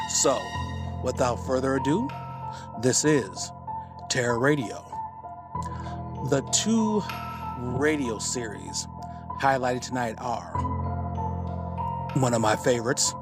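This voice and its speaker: Male podcast host, relaxed, very slow speech, loud background music. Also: medium pitch. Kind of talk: casual podcast introduction.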